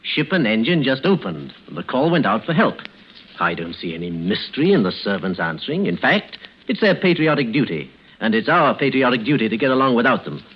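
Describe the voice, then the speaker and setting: An actor playing a brisk, matter-of-fact, mildly impatient husband in a theatrical 1940s radio drama style. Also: male voice, medium pitch, very animated, natural voice. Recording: muffled, noisy vintage radio recording.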